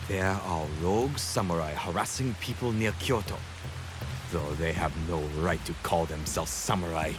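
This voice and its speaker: An actor playing an earnest, loyal ally in historical Japan in a video game cutscene, speaking in a halting, deliberate way. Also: male voice, low-pitched, music in the background, natural voice. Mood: grave. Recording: hiss and rain noise behind.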